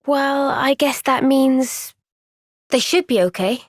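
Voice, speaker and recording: An actress playing a young soldier heroine in a video game, earnest, gentle and resolute, speaking with soft, measured sincerity. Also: female voice, sits high, dry recording, clean studio sound. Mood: thoughtful, earnest. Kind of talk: video game cutscene voice acting.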